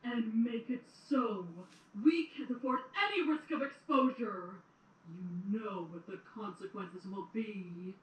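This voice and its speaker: A woman playing a cruel, menacing, imperious queen in an audio drama, theatrical and commanding with drawn-out words. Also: fairly high pitch, natural voice. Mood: stern, menacing. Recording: roomy, distant playback with some noise.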